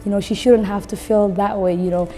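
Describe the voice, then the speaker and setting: Young woman, mellow voice, reverberant room. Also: mid-range voice, steady tone, a little fast. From Somalia, later lived in Kenya. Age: 20s. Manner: conversational, frequent "you know". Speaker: recent graduate, guest book reader. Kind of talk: casual personal talk about a book. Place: indoor room with wood-panel wall, seated. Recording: reverberant room with background music.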